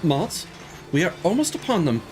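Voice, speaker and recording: A plucky, resolute kid from a war game, played by a man. The voice is lifted in pitch and animated, earnest about the fight ahead. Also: moderate pace, over music. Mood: hopeful. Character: earnest, brave young child tank crew member. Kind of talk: video game character dialogue.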